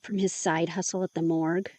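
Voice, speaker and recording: A woman's voice: lowish voice, level tone, a little fast, mellow, no room sound, lightly acted. An actress playing a skeptical young woman in an audio drama, dry, sarcastic and probing. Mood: teasing. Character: sharp-tongued young woman, skeptical, questioning.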